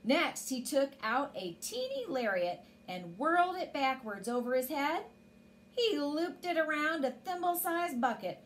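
A woman's voice: sits high, sing-song, unhurried, a little hiss or hum. A woman in her forties reading a children's story expressively as a storyteller, indoors.

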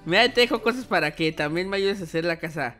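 A young man hosts a gaming roleplay in a high, youthful voice. He chats playfully and often exclaims, with music playing behind him. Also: lively intonation, fast talker, sharp tone. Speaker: gaming video host. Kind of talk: excited gameplay roleplay chat. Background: background music.

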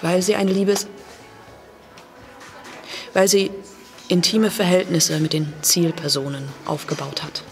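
Frustrated activist in audio drama, clipped n insistent, long reverb, bit of music. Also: female voice, on the low side, little pitch movement, slow, pauses often. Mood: indignant. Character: defiant, frustrated female activist recounting police betrayal. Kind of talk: naturalistic audio drama acting.

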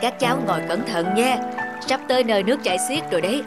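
An actor playing a weary, wise old male boatman in an animated fable, speaking in a grave, measured, admonishing way. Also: mid-range voice, lightly acted. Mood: cautious. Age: older. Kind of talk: animated moral fable dubbing. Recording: loud music behind the voice.

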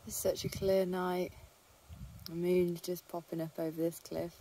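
A woman's voice: on the low side, little pitch movement, measured pace, noisy background. A young woman chatting casually to her camera while wild camping on a mountainside, laughing often. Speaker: vlogger. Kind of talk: casual outdoor vlog narration. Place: outdoors on a mountainside by a lake. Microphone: handheld camera selfie.

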